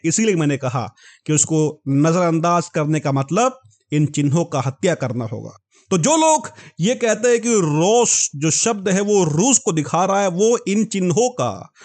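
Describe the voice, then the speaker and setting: A man's voice: fairly high pitch, darkish tone. A man teaching a Bible lesson, carefully spelling out words and earnestly addressing his listeners as his dear ones. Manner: slow, deliberate spelling-out of words.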